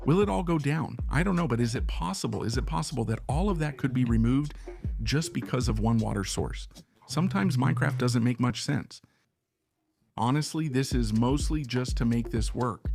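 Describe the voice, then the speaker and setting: Hoarse male game commentator, gravelly, mid-pitched, musing and unsure as he plans out loud. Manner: thinks aloud, unsure and musing. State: hoarse from being sick. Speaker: gaming channel host. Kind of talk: casual gameplay commentary.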